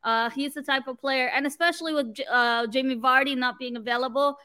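A woman's voice: high-pitched, steady tone, a little fast, sharp tone, clean tone. A woman from Canada, a guest on a football fan show, chatting casually about a match with many fillers and restarts.

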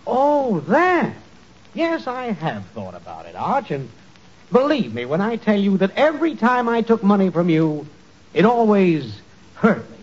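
A man's voice: sits high, wildly swooping pitch, measured pace, heavily acted. An actor playing an effusive, wheedling, falsely chummy male con man in 1940s radio comedy style. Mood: unflappable, coaxing. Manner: effusive, theatrical, ingratiating. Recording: muffled, hissy 1940s radio recording.

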